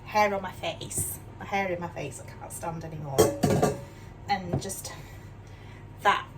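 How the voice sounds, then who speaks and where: A woman's voice: lowish voice, measured pace, loud music behind the voice. An older woman from Yorkshire with a mixed northern English accent, chatting casually and self-deprecatingly while she cooks in her home kitchen, often tagging her sentences with questions. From Yorkshire, England. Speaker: cooking vlogger. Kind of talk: casual chatty cooking demonstration. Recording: distant mic with clear background noise.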